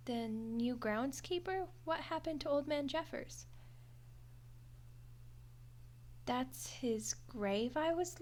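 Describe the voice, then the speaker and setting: A high-pitched skeleton girl acted by a woman speaks straight to the listener in an audio roleplay. She is friendly and awkward, taking her time and stumbling hesitantly through wry, chatty excuses. There is some background noise on the recording. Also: a little mellow, lightly acted. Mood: defensive, flippant. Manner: hesitant, chatty, wryly defensive.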